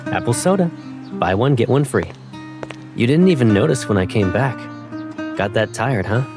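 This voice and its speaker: A man's voice: mid-range voice, lively intonation, moderate pace, lightly acted. A man playing an easygoing, teasing young man in a fan dub of an otome game. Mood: casual, caring. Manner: playful, teasing. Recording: voice over guitar music.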